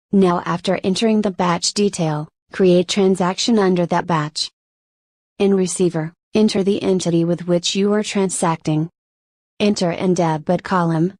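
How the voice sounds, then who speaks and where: A woman narrates a software tutorial from a script. Her pitch sits in the middle for a woman, and she explains in measured steps. The recording is close and dry, with little room sound. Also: no echo.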